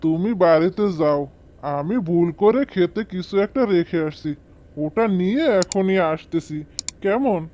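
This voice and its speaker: A man as an earnest, mellow-voiced village farmer. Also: high-pitched, animated, moderate pace, slight background noise. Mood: puzzled. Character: hardworking, dutiful, worried farmer son. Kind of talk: amateur cartoon voice acting.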